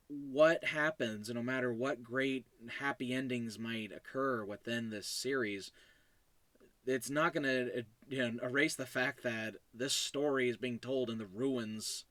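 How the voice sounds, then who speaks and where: A man's voice: mid-range voice, fairly even intonation, unhurried, bright, forceful tone, dry recording. A young man talking casually as a solo podcast host, in an informal, rambling way and often correcting himself. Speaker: podcast host and show creator.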